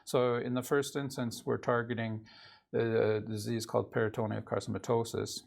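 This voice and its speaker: Gravelly man with a Norwegian accent. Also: medium pitch, little pitch movement, moderate pace. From Canada. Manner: hesitant, many filler sounds. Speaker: company CEO. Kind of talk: conversational interview answers. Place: TV studio.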